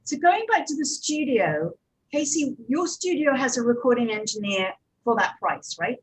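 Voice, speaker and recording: A woman's voice: a little high, expressive, normal speed, slight background noise, rough recording. A woman producer and director talking informally on a panel, in a conversational, hedging way.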